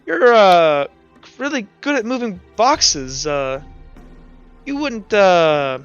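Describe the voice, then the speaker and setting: A businesslike woman cart driver in a tabletop fantasy game, played by a man, speaking a little high. She makes her offers casually and haltingly. Faint music plays under a slightly muffled recording. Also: big pitch swings, clean tone, full character voice. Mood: casual, probing.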